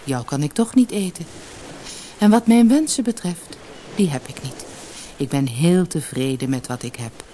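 A woman voicing a humble, contented old fisherman in a storybook, soft-spoken, mild and resigned. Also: low voice, expressive, moderate pace. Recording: noisy old cassette audiobook.

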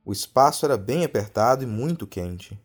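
A man's voice: mid-range voice, expressive, moderate pace, husky. A man with a Brazilian accent narrating a scripted horror story in a dramatic storytelling style.

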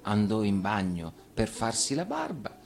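Male actor for expressive fairy-tale narration, very animated intonation, light music underneath. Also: on the low side, measured pace, creaky. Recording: radio reading over music.

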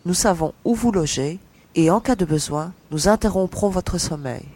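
Man as a high-voiced young woman mercenary. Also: moderate pace, darkish tone. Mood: firm, reassuring. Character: young woman mercenary, brisk, tough, commanding. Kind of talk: audio drama science fiction acting.

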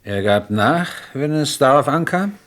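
An actor playing an insistent, methodical police inspector in a radio crime drama, questioning formally and probingly. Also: male voice, mid-range voice, animated, unhurried, a bit bright.

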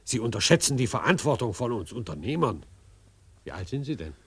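A man's voice: medium pitch, big pitch swings, brisk, a bit bright, breathy edge, full character voice. A male actor playing a pompous, patronising cabaret impresario in a German radio drama, with theatrical, condescending delivery.

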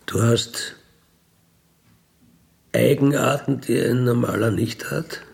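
A middle-aged man with an Austrian accent talks at a slow, deliberate pace. His voice is gravelly with plenty of fry. He sounds matter-of-fact and conversational, often turning to the listener with little tag questions. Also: medium pitch, a little mellow. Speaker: ex-convict burglar telling his life. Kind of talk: candid conversational monologue.

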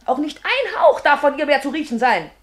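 An actress playing a domineering, shrewish wife in a German radio play, indignant and scolding with scornful insults. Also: female voice, sits high, sing-song, a little fast. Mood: furious.

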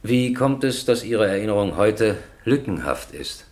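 An actor playing a stern, impatient presiding judge in a radio crime drama, questioning formally and insistently. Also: male voice, fairly low pitch, animated, a little slow, a little mellow.

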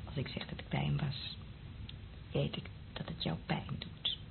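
A woman's voice: low-pitched, expressive, unhurried, creaky, full character voice. An actress playing a vulnerable, guilt-ridden woman who secretly loves a priest, intimate and hesitant, in a Dutch radio drama. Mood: evasive, wistful. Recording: dull, lo-fi old radio recording, faint noise.

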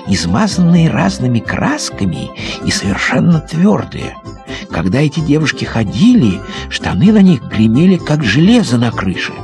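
Highly animated man telling a children's story. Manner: animated, theatrical, childlike enthusiasm. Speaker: audiobook performer. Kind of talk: expressive storytelling narration as a young boy in a children's audio story.

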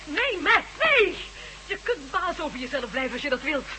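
A woman's voice: high voice, big pitch swings, moderate pace, sharp tone, soft background music, exaggerated voice. An actress playing a determined woman who resists mind control, speaking earnestly in a radio drama. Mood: pleading. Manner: earnest, urgent, resolute. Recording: humming noisy old radio recording.

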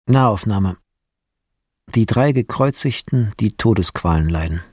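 A man's voice: on the low side, lively intonation, measured pace, a little mellow, no room sound, studio quality. A man narrating scripted shot descriptions in clipped, descriptive phrases. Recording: clear music behind the voice.

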